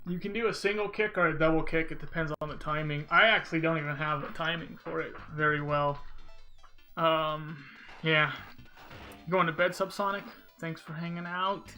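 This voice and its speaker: A man's voice: a little high, steady tone, normal speed, sharp tone, soft background music. A man streaming a video game, chatting casually with viewers and thinking aloud in a rambling, unsure way. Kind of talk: casual live-stream chat while playing.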